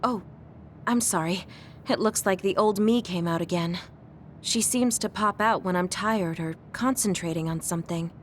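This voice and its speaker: A woman voicing a gentle, caring young woman in a video game, speaking politely and softly. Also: lowish voice, normal speed, slight background noise, close to an everyday voice. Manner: polite and soft-spoken. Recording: music under the dialogue.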